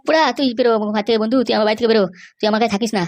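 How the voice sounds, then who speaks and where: A woman's voice: a little high, very fast, a little mellow, natural voice. A woman voicing an irritable, anxious young groom-to-be in a comedy cartoon, scolding in an exasperated way.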